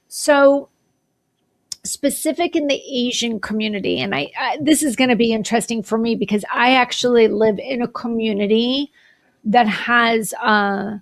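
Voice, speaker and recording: Higher-voiced woman host explaining. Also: creaky. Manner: explanatory, clinical wording, checks in with "right?". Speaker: podcast host. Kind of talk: interview hosting.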